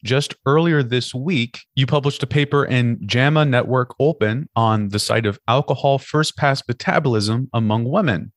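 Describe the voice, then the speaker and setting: A man's voice: mid-range voice, normal speed, few pauses, no echo, clean studio sound. A young man in his twenties, a graduate student hosting a podcast, reading an introduction and interviewing politely. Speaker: podcast host.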